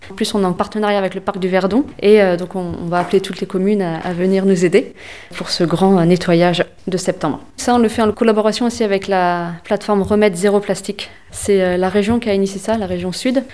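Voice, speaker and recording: Young woman, halting and full of fillers. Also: mid-range voice, fairly even intonation, dark tone. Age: young adult. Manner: hesitant, many fillers, spells words out slowly. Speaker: environmental association volunteer. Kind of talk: radio interview answers.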